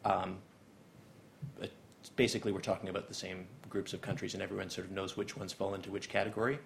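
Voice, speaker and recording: Canadian guy giving a talk, very gravelly low voice, lots of ums, flat delivery. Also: quick pace, a little hiss or hum. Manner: hesitant, many ums and uhs. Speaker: guest lecturer. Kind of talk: academic seminar talk.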